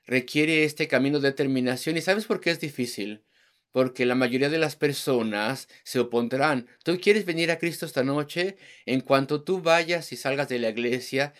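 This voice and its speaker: A man's voice: medium pitch, fairly bright tone. A man preaching an evangelistic sermon, driving his points home with rhetorical questions and repeated words in lists.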